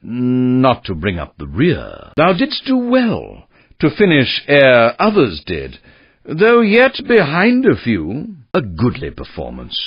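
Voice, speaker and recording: Hammy shakespeare actor for a game, slow, huge pitch swoops, grand n solemn, muffled. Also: male voice, husky, no room sound, exaggerated voice. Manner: theatrical, declamatory, archaic. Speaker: race announcer in a driving game. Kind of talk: mock-Shakespearean stage declamation in a game.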